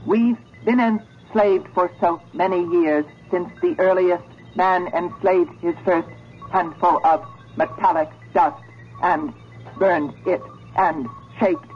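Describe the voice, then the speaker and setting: An actress playing a coldly menacing, sentient female computer in a 1970s radio drama, speaking slowly, deliberately and imperiously. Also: lowish voice, soft background music. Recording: dull vintage radio drama recording.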